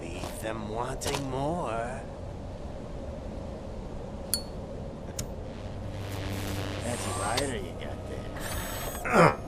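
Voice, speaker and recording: An actor playing a manic, cocky drug gang boss in a video game, theatrical, taunting and foul-mouthed. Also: male voice, mid-range voice, big pitch swings, slow pace, heavy background noise. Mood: smug. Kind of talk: video game cutscene acting. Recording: loud music behind.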